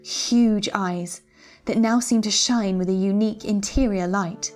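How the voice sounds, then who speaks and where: A woman's voice: medium pitch, steady tone, a little slow, a little mellow, soft background music. A woman reading literary fiction aloud as a dramatic storyteller.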